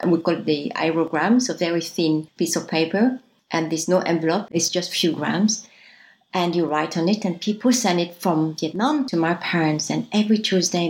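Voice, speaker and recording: A woman's voice: deep voice, darkish tone, poor audio. A woman in her fifties, raised in France, telling her family story in an earnest, reflective way as an interview guest. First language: French. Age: 50s. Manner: starts many sentences with "so", earnest and reflective.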